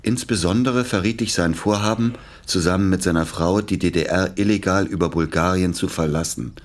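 An actor playing an uneasy ex-convict accomplice with a conscience, earnest and hesitant, in a radio crime drama. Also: male voice, low voice, a little fast, close to an everyday voice. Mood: stiff, reading.

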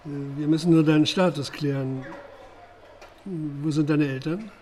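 An actor playing a calm, patient, mildly paternal police chief in a German radio crime drama, speaking in a measured, reassuring way. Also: male voice, fairly high pitch, slight background noise, close to an everyday voice.

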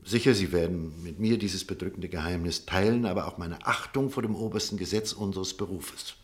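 An actor playing a stubborn, principled doctor in a German radio drama, speaking formally and firmly. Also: male voice, deep voice, close to an everyday voice. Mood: grave, torn. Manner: formal, firm, measured argument.

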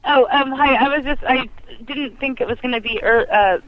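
A woman's voice: high-pitched, moderate pace, phone-quality sound, soft background music. A woman calling in to a phone show, chatting casually. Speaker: caller.

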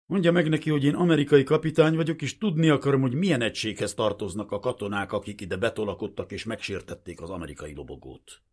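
A man's voice: deep voice, expressive, hardly any pauses, slightly rough, exaggerated voice. A man voicing a proud, wounded American pilot captain in a story, angry, demanding and commanding. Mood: indignant.

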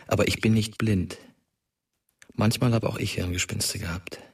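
A man's voice: on the low side, fairly even intonation, normal speed, slightly rough. An actor playing a dead teenage boy who narrates a radio drama in a wistful, reflective, intimate monologue. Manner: reflective, rhetorical questions. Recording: music under the voice. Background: prominent music.

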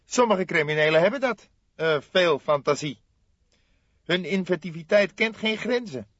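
Man putting on a high, eager young detective. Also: lively intonation, normal speed, fairly bright tone, no echo, lo-fi sound. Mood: inquisitive. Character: eager young male police detective, questioning junior partner.

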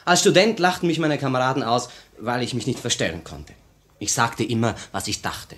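An actor playing a candid, idealistic young revolutionary in a 1950 radio play, earnest and impassioned in delivery. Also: male voice, medium pitch, brisk, fairly bright tone, close to an everyday voice. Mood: fervent, idealistic.

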